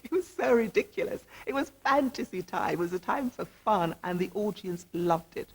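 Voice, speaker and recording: A woman's voice: mid-range voice, lively intonation, normal speed, slightly rough. A woman reminiscing as an interviewee in a documentary.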